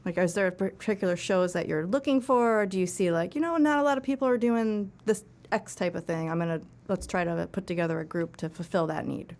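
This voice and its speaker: A woman's voice: on the low side, fairly quick, few pauses, darkish tone. A woman co-hosting a podcast, speaking in casual interview chat. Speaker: podcast co-host.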